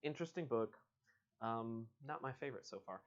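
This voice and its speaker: A man's voice: medium pitch, expressive, a little fast. Casual male host, slightly muffled sound. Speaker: host and book reader. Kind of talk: casual live-stream chat and story reading.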